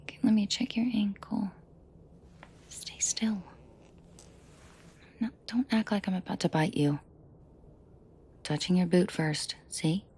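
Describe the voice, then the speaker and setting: A woman playing a protective mafia boss in an intimate audio drama, soft and breathy with frequent whispering, commanding and dryly teasing. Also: medium pitch, slow pace, long pauses, heavily acted. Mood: tender, teasing. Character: protective female mafia boss, menacing to henchmen, tender to victim.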